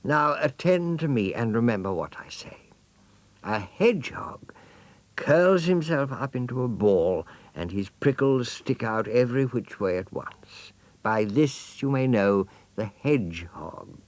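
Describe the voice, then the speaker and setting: A man voicing a gracious, patient mother jaguar in a storybook, lecturing deliberately. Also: medium pitch, lively intonation, dry recording, pitched above the performer's own voice, natural voice.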